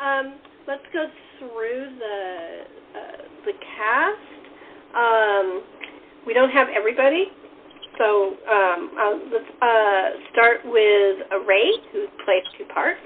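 A woman's voice: fairly high pitch, slow pace. A woman hosting a chatty interview show as its presenter. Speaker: talk show host and playwright. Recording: tinny phone line with clear noise.

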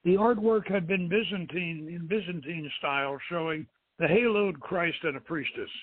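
A man's voice: fairly high pitch, normal speed. A man hosting and reading his story aloud over a thin, echoey phone-like radio line. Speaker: host reading aloud his own novel. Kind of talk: dramatic reading and informal hosting.

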